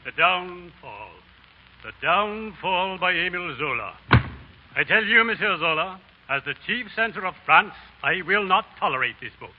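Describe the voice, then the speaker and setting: An actor playing a pompous, indignant government censor, stern and declamatory in 1930s radio drama style. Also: male voice, high voice, lively intonation, moderate pace, soft background music. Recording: dull, lo-fi 1930s radio broadcast.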